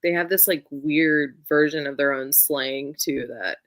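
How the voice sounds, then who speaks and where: A woman's voice: low-pitched, unhurried, fairly bright tone, no room sound. Casual woman chatting books, close dry sound. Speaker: book show co-host. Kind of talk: casual book chat.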